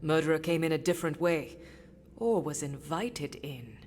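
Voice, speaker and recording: A woman's voice: low voice, moderate pace, darkish tone, big-room sound, slight background noise, lightly acted. A woman playing a candid, sardonic treasure hunter in a video game, casual and observant. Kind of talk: video game character dialogue.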